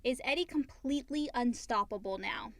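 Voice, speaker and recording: Female podcast co-host, slightly high pitch, very dry sound, casual chat, reading listener questions. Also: normal speed, no echo.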